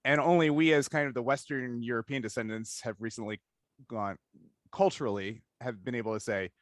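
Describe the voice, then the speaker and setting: A man's voice: medium pitch, steady tone, fairly quick, dry recording, clean studio sound. A man hosting a casual discussion interview, thinking aloud analytically in long stretches and often checking in with "right?". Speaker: interviewer, podcast host.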